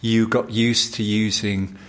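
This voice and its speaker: A man with a somewhat low voice hosts a podcast. He reads a polished script, then puts questions to a guest in a measured, steady presenter manner. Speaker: podcast host. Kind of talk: scripted narration and interview.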